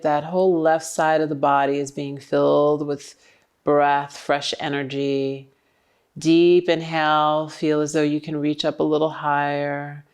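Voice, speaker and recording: A woman yoga instructor guiding breathing and stretches with calm, encouraging, short cues. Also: sits low, smooth. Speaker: yoga instructor leading a class.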